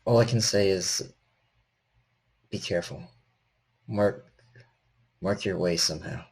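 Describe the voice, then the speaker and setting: A man's voice: low-pitched, slow, darkish tone, slightly rough, heavily acted. A man playing a nervous, weary repairman in a tabletop game, cautious and helpful, speaking in hesitant stammers.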